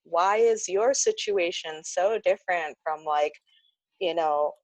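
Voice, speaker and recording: Chatty woman with a low voice. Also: takes it slowly, darkish tone, no echo, poor audio. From United States. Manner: chatty, many fillers and restarts, laughs often. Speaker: interview guest, speech-language pathologist. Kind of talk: casual interview conversation.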